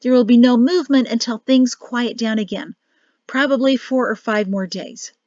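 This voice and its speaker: A woman with a rough, crackling voice voicing an anxious, exasperated wife who talks tense and impatient. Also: on the high side, normal speed, a little mellow, no room sound, highs cut off, pitched above the performer's own voice, natural voice.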